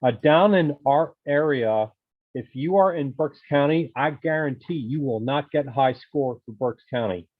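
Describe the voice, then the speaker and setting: A man's voice: medium pitch, measured pace, no room sound. A man telling casual anecdotes as a member of an amateur radio club in an online meeting. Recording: narrow tinny call audio.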